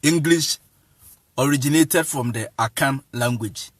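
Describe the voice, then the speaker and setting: A man's voice: medium pitch, a little slow. A man with a Ghanaian accent giving an informal lecture to viewers in an emphatic, repetitive way, phrase by phrase. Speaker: online evangelist and teacher.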